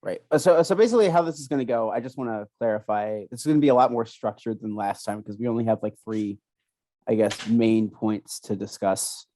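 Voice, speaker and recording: A young man co-hosting a casual chat, rambling and halting with many restarts, still sniffly from a cold. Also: fairly low pitch, warm tone, no echo. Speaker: podcast co-host.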